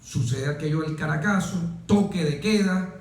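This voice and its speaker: A man in his forties with a Venezuelan accent, speaking deliberately and emphatically as an interview guest, with pauses and repeated phrases. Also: a little high, darkish tone. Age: 40s. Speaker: interview guest, military officer. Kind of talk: reflective, oratorical interview talk. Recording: very echoey room.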